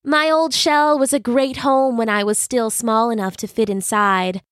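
A woman's voice: a little high, fairly even intonation, a little slow, fairly bright tone, dry recording, clean studio sound. A woman voicing a practical, kind female hermit crab with an earnest, encouraging manner in a children's story.

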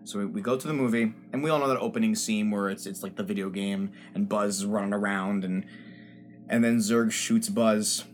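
Animated young-sounding podcast man. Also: lowish voice, a little fast, faint music behind. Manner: animated storyteller, laughs often. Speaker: podcast co-host. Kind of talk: casual group podcast chat.

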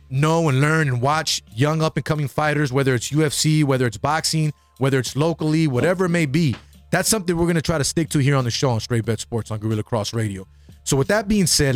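This is a man hosting a sports radio show who talks fast and sounds eager, hyping up his guest. The studio sound is dry and close, with faint music underneath. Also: on the high side, no room sound. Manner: upbeat, hypes the guest, asks probing follow-ups. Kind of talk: conversational interview.

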